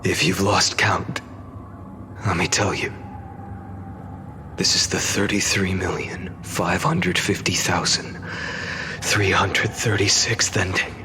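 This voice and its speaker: An actor playing a weary, defiant young hero in a game cutscene, speaking solemnly and deliberately with scornful resolve. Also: male voice, sits low, steady tone, sharp tone, a little hiss or hum, exaggerated voice. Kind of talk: dramatic game cutscene acting.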